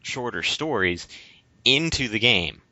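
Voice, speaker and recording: Male podcast co-host, casual and rambling with halting explanations. Dry but dull, lo-fi recording. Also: medium pitch, lively intonation, bright, forceful tone, no echo. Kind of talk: casual discussion of games.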